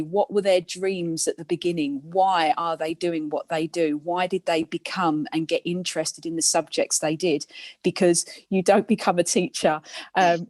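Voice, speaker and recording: A woman's voice: on the low side, a little mellow, dry recording. A woman speaking earnestly and emphatically as a guest, giving motivational careers advice to students. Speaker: guest speaker, industry professional.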